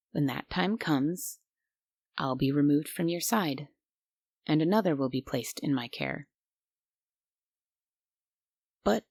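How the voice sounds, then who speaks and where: A woman's voice: low voice, creaky, darkish tone, no room sound, heavily acted. A woman playing a strict, stubborn guardian angel who is bossy, prim and grudgingly caring, in an immersive roleplay audio drama. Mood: stern, prim. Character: strict, stubborn but secretly kind female guardian angel.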